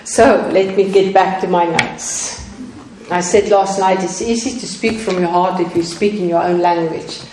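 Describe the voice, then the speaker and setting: A woman whose first language is Afrikaans preaches in English in short phrases, pausing so that each one can be translated. Her voice rings in a very echoey hall. A faint hiss of noise sits underneath. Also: on the low side, steady tone. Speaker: guest preacher. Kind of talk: sermon with live interpreter.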